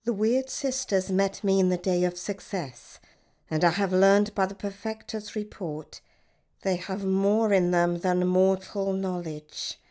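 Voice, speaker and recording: A woman playing an ambitious noblewoman in a Shakespearean radio drama, reading a letter aloud in a measured, deliberate way. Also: mid-range voice, fairly even intonation, mellow, lightly acted. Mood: intent, savouring. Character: ambitious noblewoman poring over her husband's letter. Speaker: actor in an amateur radio drama.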